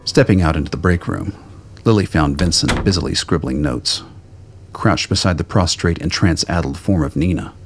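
Very deep, gravelly male narrator. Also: moderate pace, over music. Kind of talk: scripted dramatic narration of a radio play.

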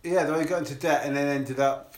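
A man's voice: on the high side, fairly even intonation, quick pace. Guy chatting about a film at home, wanders off track, roomy echo. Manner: rambling, acts out movie moments in silly voices. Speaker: podcast co-host. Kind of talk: casual chat. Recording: echoey room.

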